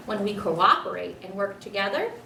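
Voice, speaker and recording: A woman's voice: medium pitch, moderate pace, vocal fry. Lady doing an earnest kids-book dad, firm but kind, emphatic, echoey room. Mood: coaxing, encouraging. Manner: expressive, emphatic storybook dialogue. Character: earnest, pleading father, a school principal, firm but kind. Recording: roomy, distant mic, faint noise.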